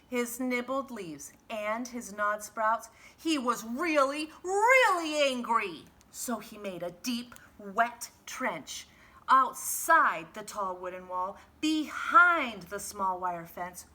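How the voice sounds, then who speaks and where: A woman reading a picture book aloud like a teacher to young children, expressive and dramatic, with hushed sound words. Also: medium pitch, a little hiss or hum.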